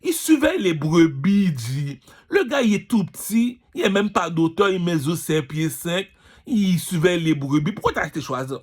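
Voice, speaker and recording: A man's voice: high voice, animated, moderate pace, husky. Boastful man. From Canada, immigrated at age five. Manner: boastful, slangy, repetitive, emphatic with rhetorical questions. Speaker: singer and vlogger. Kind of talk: informal rant with storytelling.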